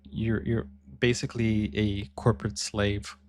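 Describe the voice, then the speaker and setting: A man's voice: fairly low pitch, level tone, a little slow. A man hosting a reaction video, commenting casually and thinking aloud with fillers.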